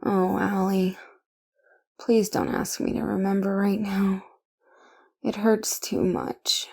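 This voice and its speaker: Woman acting a dazed weepy woman, slow, heavily creaky, plaintive, emotional, audio roleplay drama. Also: medium pitch, flat delivery, a little mellow, exaggerated voice. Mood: groggy, pained. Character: weepy, dazed, hungover woman named Perrin, melodramatic and tender.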